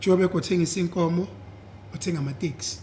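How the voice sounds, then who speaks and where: Brief: husky-voiced South African man in his twenties or thirties, slightly high-pitched, relaxed guest talk with a faint hiss behind. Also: quick pace. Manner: relaxed, switches between English and isiZulu, repeats "yeah". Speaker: guest, singer. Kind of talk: casual interview chat.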